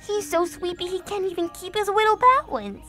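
Woman as a fretful, high-voiced bookish pony. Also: expressive, normal speed, sharp tone, faint music behind, exaggerated voice. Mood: flustered. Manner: fretful and sarcastic. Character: bookish, anxious, exasperated young female unicorn pony. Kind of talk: cartoon fandub acting.

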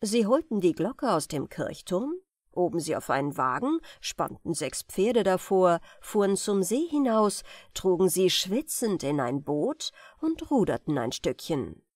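A woman reading comic folk tales as an audiobook, telling them expressively with deliberate dramatic pauses. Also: on the low side, sing-song, darkish tone, no echo, studio quality. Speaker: audiobook reader.

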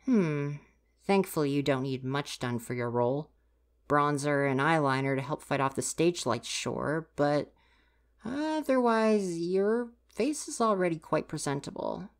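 A woman's voice: low voice, lively intonation, measured pace. A woman playing a grumpy, easily flustered university-age stagehand in an ASMR roleplay, huffy and exasperated, talking straight to the listener. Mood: grudgingly helpful. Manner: huffy, exasperated, sighing scolding.

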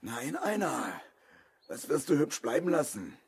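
An actor playing a sly, mocking crook with a raspy voice and false courtesy in a 1970s children's radio play. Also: male voice, mid-range voice, sing-song, unhurried, full character voice. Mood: menacing, sarcastic. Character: sly mocking male crook threatening a former accomplice.

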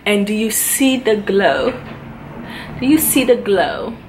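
A woman's voice: medium pitch, animated, slow, a little mellow, slight background noise, low-quality recording. A young woman hosting a beauty vlog at home, chatting casually and gushing to her viewers, recorded on a camera in front of her. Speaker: beauty vlogger. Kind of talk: casual makeup vlog chat. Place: indoor home room.

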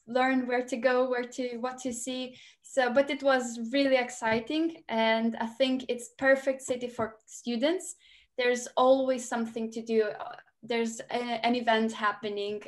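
A woman in her twenties with a Lithuanian accent, speaking informally as a student panellist on a video call. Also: on the high side. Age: 20s. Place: indoor room at home, video call.

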